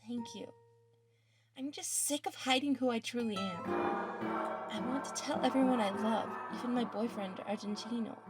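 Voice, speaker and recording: A woman acting an anxious, earnest high school student in a dramatic story reading, speaking hesitantly and with emotion. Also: moderate pace, lightly acted. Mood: emotional, grateful. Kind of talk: dramatic reading of fan fiction. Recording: music under the voice.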